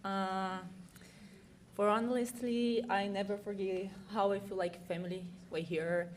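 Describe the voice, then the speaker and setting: A young woman athlete speaks informally at a banquet with a Brazilian accent. Portuguese is her first language and her phrasing is simple. Her pitch is average, with faint noise behind. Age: young adult. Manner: simple non-native English phrasing. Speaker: college athlete.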